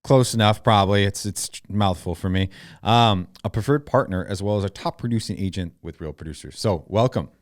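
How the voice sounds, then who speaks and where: A man's voice: lowish voice, no echo, studio quality. A man hosting a podcast, upbeat and chatty, reading the guest's introduction and then asking questions. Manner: upbeat, chatty, quick to laugh. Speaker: podcast host. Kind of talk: casual interview conversation.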